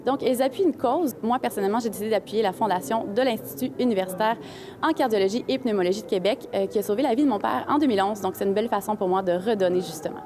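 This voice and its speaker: A young woman from Quebec with a Quebec French accent, answering an interview clearly and poised as a carnival duchess in a shopping mall. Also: medium pitch, fast talker. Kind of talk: interview in public place. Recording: crowd noise in mall. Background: crowd chatter.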